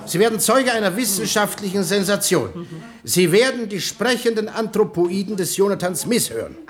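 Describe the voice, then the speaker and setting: A man's voice: high voice, animated, moderate pace. A man playing a pompous showman tour guide in a radio play, grandiose and declamatory. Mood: solemn, showy. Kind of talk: German radio play acting.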